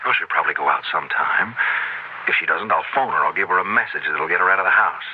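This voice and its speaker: An actor playing an earnest, determined academic who explains things hesitantly, in a 1970s radio drama. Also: male voice, low-pitched, fast, close to an everyday voice. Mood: resolute. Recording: dull, lightly noisy 1970s radio recording.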